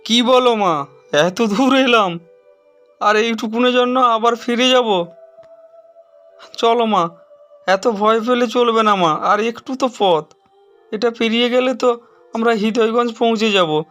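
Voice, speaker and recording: A man's voice: very high-pitched, measured pace, pauses often, a bit bright, voice pushed up. A man voicing a determined, pleading son on a night journey with his mother in a horror cartoon. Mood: reassuring, insistent. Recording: music behind the voice.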